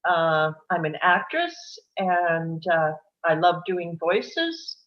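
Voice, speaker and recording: A woman's voice: fairly low pitch, big pitch swings, slow. An older woman who acts, introducing herself casually. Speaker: actress, reader of action descriptions. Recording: dull, muffled video call audio.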